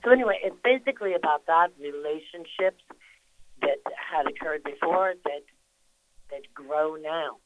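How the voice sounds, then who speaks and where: A woman's voice: low voice, normal speed, phone-quality sound. An older woman, an actress, talking in a phone-in radio interview, chatty and rambling with lots of fillers and restarts. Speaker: actress guest. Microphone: phone call.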